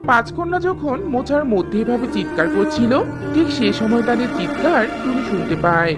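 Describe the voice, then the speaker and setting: A woman's voice: on the high side, sing-song, normal speed, over loud music. A woman narrating a scripted fairy tale for a cartoon as the storyteller.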